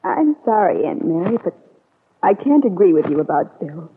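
A woman's voice: lowish voice, very animated, normal speed, slight background noise. A woman playing a hurt, disillusioned young niece in an old-time radio soap opera. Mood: bitter, resolute. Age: young adult. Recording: tinny vintage radio recording.

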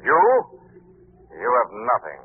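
An actor playing a stern, righteous invisible avenger, declaiming deliberately in an old radio drama. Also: male voice, fairly low pitch, lively intonation, narrow, tinny audio. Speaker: actor in a radio mystery serial. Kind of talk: old-time radio hero acting. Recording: thin old radio recording, faint noise.

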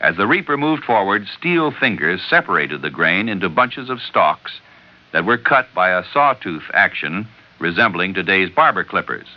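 A low-voiced American man announcing on old-time radio. His scripted narration swings in a lively way, and the recording is slightly muffled. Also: moderate pace.

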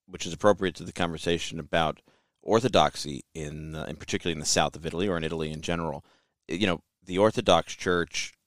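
A man's voice: sits low, fast talker, no echo. A man from Brooklyn with a New York accent, hosting and asking conversational questions. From United States. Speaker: podcast host. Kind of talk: interview conversation. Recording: dry close sound.

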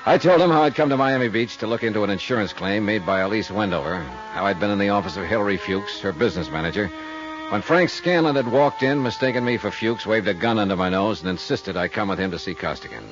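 An actor playing a tough, wry insurance investigator and narrator in a 1950s American radio detective drama, dry and clipped. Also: male voice, lowish voice, fast, natural voice. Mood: brisk narration. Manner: dry, clipped, hard-boiled. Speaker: actor in lead role, narrator. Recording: dull old radio recording, faint music.

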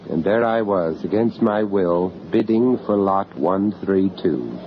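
An urbane gallery owner telling his own eerie tale in a radio horror drama. He is reflective and a little hesitant, with a widely moving, expressive voice. The recording is dull, with faint noise behind it. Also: low voice, a little slow. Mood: uneasy, reflective. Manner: hesitant, reflective, with chuckles in dialogue. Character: urbane, uneasy foreign art gallery owner in London, recounting horror. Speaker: narrator and lead character of a radio horror story. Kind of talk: first-person radio drama narration. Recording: muffled, noisy old radio drama recording.

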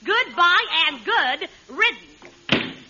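A scornful young girlfriend in vintage radio comedy. Her very high voice delivers biting, scolding wisecracks over a muffled, hissy old recording. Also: very animated, drawn-out words, exaggerated voice. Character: sharp-tongued, jealous, scornful young girlfriend, twenty-five. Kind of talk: 1940s radio comedy acting.

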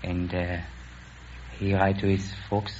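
A man in his late teens with a German accent, answering interview questions in an earnest, halting way. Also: low-pitched, completely flat delivery, darkish tone. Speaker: quiz show contestant. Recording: muffled old radio broadcast, faint noise.

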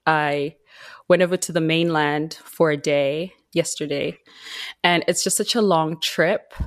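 A woman's voice: low voice, steady tone, measured pace. Tired woman on a podcast. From Zimbabwe. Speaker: podcast guest, mining engineer. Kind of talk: casual interview chat.

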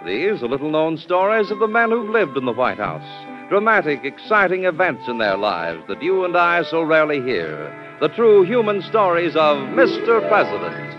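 A man announces an old radio drama with formal grandeur. His fairly high voice swings dramatically over clear music. The recording is dull and dated. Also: wildly swooping pitch, normal speed, few pauses. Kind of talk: 1940s-style dramatic radio narration.

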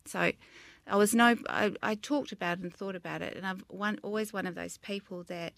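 A woman's voice: mid-range voice, fairly even intonation, a little fast, vocal fry, a bit bright. A middle-aged woman with a New Zealand accent, a designer and business owner talking earnestly and reflectively as an interview guest, rephrasing as she goes. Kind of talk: interview conversation.

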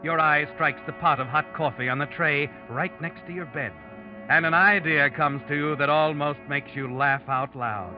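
A man's voice: a little high, fairly even intonation, normal speed, lo-fi sound, lightly acted. Male suspense narrator, acted, 1940s radio style: insinuating, dramatic, taunting, over prominent music. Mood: knowing, insinuating. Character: ominous, knowing narrator taunting a guilty man. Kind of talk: 1940s radio suspense narration, addressing the protagonist in second person. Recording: vintage radio recording, dramatic music behind.

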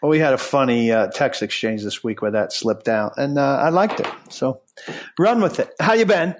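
A man's voice: mid-range voice, lively intonation, normal speed. A middle-aged man hosting a podcast, talking in a blunt, rambling way with many restarts and fillers. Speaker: podcast host. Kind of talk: casual podcast chat.

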